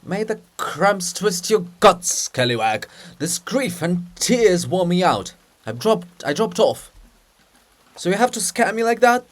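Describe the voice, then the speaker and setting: A man's voice: high voice, big pitch swings, a little slow, fairly bright tone, pitched above the performer's own voice, heavily acted. A man voicing a weary, grumpy old statue of a poet in a play reading, with an emphatic, querulous grumble. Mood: startled, cross.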